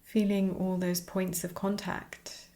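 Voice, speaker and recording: Slow-paced woman guiding meditation, creaky voice. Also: lowish voice, little pitch movement, dark tone. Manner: soothing, unhurried, pausing between short phrases. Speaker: yoga and meditation teacher. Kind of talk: guided meditation.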